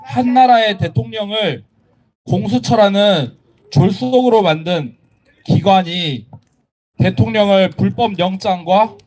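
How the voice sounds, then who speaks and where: Slow, high-voiced young man at a rally. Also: darkish tone. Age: 20s. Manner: deliberate, solemn, in short separated phrases. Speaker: protester giving a speech. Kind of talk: political rally speech. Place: outdoors at a street protest.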